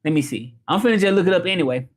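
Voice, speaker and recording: A man's voice: high-pitched, expressive, rapid-fire. A man with a Memphis Southern American accent, chatting informally as a livestream host in a loose, rambling way and repeating himself. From Memphis, southern United States. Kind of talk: casual livestream chat about learning languages, reading comments.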